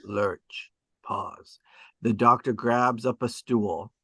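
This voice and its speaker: A man's voice: mid-range voice, takes it slowly, gravelly, bright, forceful tone, breathy edge, dry recording, studio quality. A man reading stage directions at a drama table read, with emphatic, clipped and dramatic phrasing.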